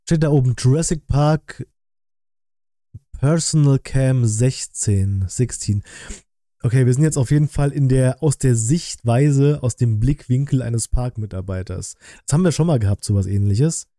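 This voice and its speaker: A man comments casually on a livestream. His voice is very soft-edged and mellow, and it is recorded close and dry in a room at home. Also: medium pitch, normal speed, dry recording. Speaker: streamer, reaction host. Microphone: close streaming microphone.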